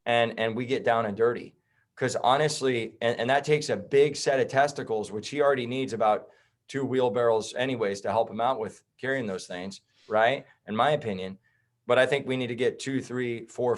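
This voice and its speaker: Blunt, heated man on a podcast. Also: mid-range voice. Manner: blunt, heated, swears and says bro. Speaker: podcast guest, chiropractor. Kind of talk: casual podcast conversation.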